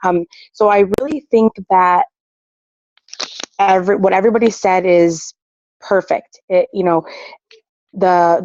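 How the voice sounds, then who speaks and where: A woman's voice: lowish voice, a little mellow. A woman on a video call who is losing her voice from a cold, speaking hesitantly and often restarting her sentences. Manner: hesitant, with many fillers and restarts. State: losing her voice, getting sick. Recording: dull video call audio.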